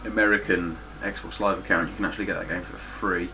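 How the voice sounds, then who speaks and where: A man's voice: low voice, fairly even intonation, brisk, noisy background. A man with a stuffy, nasal voice giving a casual, blunt spoken review of his game collection, with frequent ums and some swearing.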